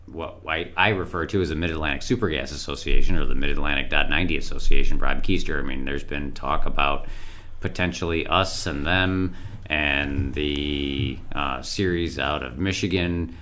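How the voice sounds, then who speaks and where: A man's voice: a bass voice, normal speed, sharp tone, slight background noise. A man chatting casually on a podcast in a rambling way, his voice froggy from being sick. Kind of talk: casual chat.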